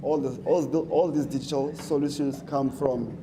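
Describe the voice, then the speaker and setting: Young man, South African accent, student presenter, hesitant, frequent ums, mid pitch, noisy live audio. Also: fairly even intonation, moderate pace. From Eastern Cape, South Africa. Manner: hesitant, many ums and restarts. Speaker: student hackathon contestant. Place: conference stage.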